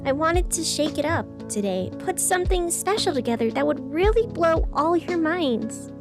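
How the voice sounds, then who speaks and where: A woman's voice: high voice, sing-song, normal speed, music in the background. A woman playing a wistful, self-doubting night radio host in a scripted audio drama, speaking in an earnest, confiding way. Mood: unsettled, wistful.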